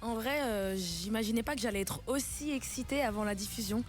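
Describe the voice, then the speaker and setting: A woman's voice: a little high, fairly quick, faint music behind. A young woman guest on a radio show, talking casually with many fillers and frequent laughter. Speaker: guest singer and TV talent-show coach. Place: radio studio.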